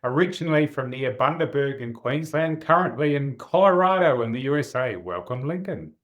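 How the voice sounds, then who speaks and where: A man hosting an interview podcast, asking brisk, upbeat questions. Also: mid-range voice, animated. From Australia. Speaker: podcast host.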